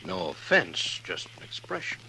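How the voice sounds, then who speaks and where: An actor playing a haughty, guarded crooked boss in a 1950s radio western, curt and disdainful. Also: male voice, normal speed, pushed and bright, slight background noise, low-quality recording. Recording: vintage 1950s radio recording, faint music.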